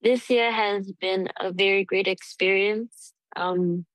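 A young woman from Fiji with a Fijian accent, a singer answering interview questions shyly and haltingly. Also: mid-range voice, fairly even intonation, fairly bright tone, no room sound, rough recording. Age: young adult. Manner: shy, laughs often, halting. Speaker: singer, guest. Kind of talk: casual interview.

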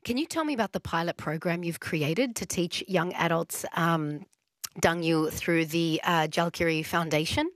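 A woman's voice: on the low side, normal speed, dry recording. A woman hosting a radio interview, asking long rambling questions in a chatty, encouraging way. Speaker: radio host.

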